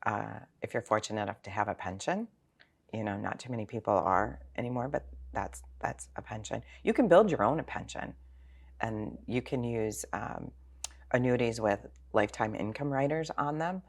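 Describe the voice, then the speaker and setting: Woman, age sixty, financial planner, gravelly fry, informal interview answers, rhetorical questions. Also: rumbling low, normal speed.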